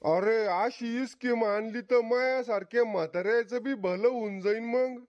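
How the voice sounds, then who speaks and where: A man's voice: extremely high pitch, a little slow, dry recording. Man doing a cantankerous old cartoon grandpa, dull sound. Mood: reassuring. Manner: blunt, quarrelsome, insulting. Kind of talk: Marathi comedy cartoon acting.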